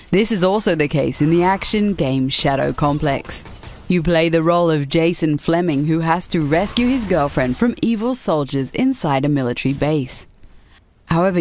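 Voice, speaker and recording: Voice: medium pitch, a little mellow. A narrator reading a scripted video game countdown as a voice-over.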